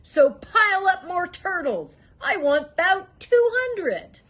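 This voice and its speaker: A woman's voice: very high voice, big pitch swings, takes it slowly, pitched above the performer's own voice, exaggerated voice. A woman voicing a pompous, power-hungry turtle king in a storybook, bellowing boasts and orders imperiously. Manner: shouting, snorting, imperious, self-admiring.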